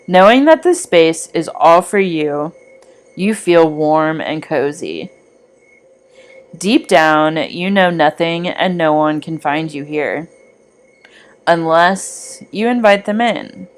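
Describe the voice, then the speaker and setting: Woman doing a guided meditation, lowish voice, really slow and soothing, lots of pauses. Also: expressive. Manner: soothing, deliberate, with long pauses. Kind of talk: guided meditation, scripted narration.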